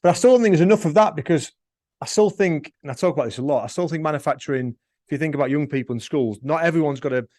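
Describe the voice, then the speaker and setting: A man's voice: on the high side, rapid-fire, darkish tone, no room sound, clean studio sound. A man from Sheffield hosting a podcast interview, chatty and encouraging, with fillers and restarts. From Sheffield, England. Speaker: podcast host, recruiter. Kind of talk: podcast interview, casual chat.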